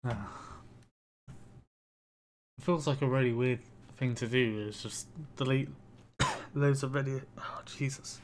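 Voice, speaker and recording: A young man with a voice going hoarse, rambling casually with halting restarts and fillers. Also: medium pitch, fairly even intonation, slight background noise. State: losing his voice. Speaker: YouTuber. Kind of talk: casual talk to camera.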